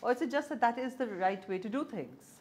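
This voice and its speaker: An older woman from India with an Indian English accent, hosting an interview with brisk, direct questions. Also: on the high side, expressive, a little mellow, slight background noise. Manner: brisk questions, short affirmations. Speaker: interviewer host. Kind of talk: conversational interview. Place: small warm-lit room.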